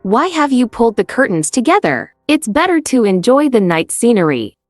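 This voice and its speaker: A man narrating an animated story voices an angry, suspicious wife in a raised, high put-on voice. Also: moderate pace, no echo, studio quality, soft background music. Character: furious jealous wife, sharp and commanding.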